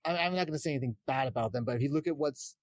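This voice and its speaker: A man's voice: mid-range voice, very fast, dry recording, studio quality. A man chatting casually as a podcast guest, earnest and a bit hesitant, often restarting his thoughts. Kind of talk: casual chat about games and films.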